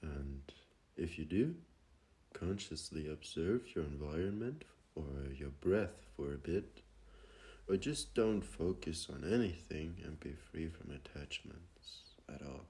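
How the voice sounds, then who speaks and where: A man with an extremely low voice guides a meditation. He speaks slowly and gently, leaving plenty of pauses between his phrases. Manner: gentle, unhurried, with long pauses.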